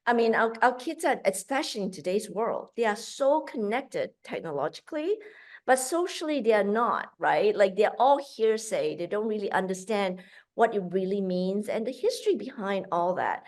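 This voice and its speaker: A woman from Malaysia speaking in an interview as a guest. Her talk is animated and full of fillers and restarts. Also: mid-range voice, moderate pace. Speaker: guest, founder of a kids' cooking program.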